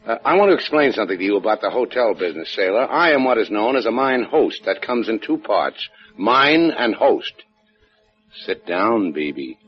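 Low-voiced wisecracking American adventurer. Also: male voice, very animated, normal speed, faint music behind. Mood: wry, cool. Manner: wry, laconic, sardonic. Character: wisecracking, cool American adventurer and hotel keeper. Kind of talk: 1950s radio drama acting. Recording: dull lo-fi old radio recording.

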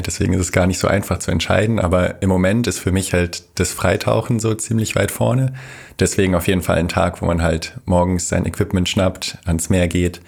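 Deep, gravelly young man. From Germany. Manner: relaxed, talks in loose lists. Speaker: guest. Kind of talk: casual interview chat.

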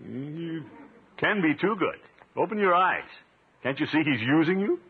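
Pompous, jovial middle-aged uncle, blustery and very animated, vintage radio comedy, tinny noisy recording. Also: male voice, a little high, a little slow, husky, exaggerated voice. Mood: blustery indignation. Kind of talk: 1950s radio comedy acting.

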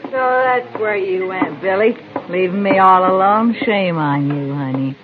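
A woman's voice: mid-range voice, a little slow, background noise, lightly acted. An actress playing a drunken, clingy older saloon woman in a radio Western, cooing and pleading with a young man. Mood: coaxing, clingy. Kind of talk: old-time radio Western acting. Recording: lo-fi vintage radio recording.

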